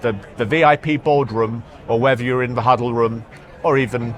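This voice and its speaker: A man speaking as an executive in a product interview, halting, often repeating words and hesitating as he explains. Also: medium pitch, fairly even intonation. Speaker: marketing executive being interviewed. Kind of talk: product interview at a trade show. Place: trade show floor.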